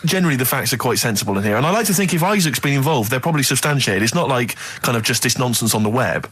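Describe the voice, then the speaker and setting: A man's voice: mid-range voice, expressive, very fast, a bit bright, husky, dry recording. A man co-hosting a radio show, riffing in comic banter with articulate, mock-serious enthusiasm.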